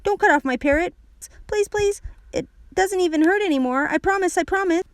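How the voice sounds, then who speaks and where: Children's storybook role: excitable, stubborn little girl played by a woman, high and emphatic, close dry recording. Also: brisk, no echo, natural voice. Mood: alarmed, pleading. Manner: emphatic, repetitive, childlike phrasing. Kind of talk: children's audiobook character voice.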